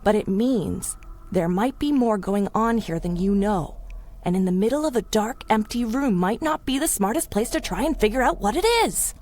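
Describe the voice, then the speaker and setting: An actress playing a wry, loyal, worried woman in a 1930s-style adventure radio drama, with slangy, expressive delivery. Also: medium pitch, moderate pace, close to an everyday voice. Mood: thoughtful.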